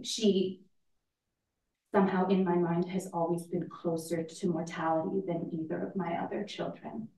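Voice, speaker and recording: A woman playing a grieving, reflective mother in a staged play reading, speaking deliberately with pauses. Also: on the low side, little pitch movement, dark tone, natural voice. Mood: tender, reflective. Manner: slow, deliberate, with long pauses. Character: grieving mother eulogizing her daughter, halting and reflective. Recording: roomy, distant mic in echoey space.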